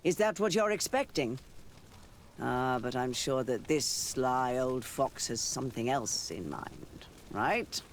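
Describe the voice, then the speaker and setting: Slow, shrewd political schemer. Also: male voice, mid-range voice, animated, a little hiss or hum. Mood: accusing. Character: shrewd, sardonic political rival, knowing and composed. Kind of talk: video game cutscene acting.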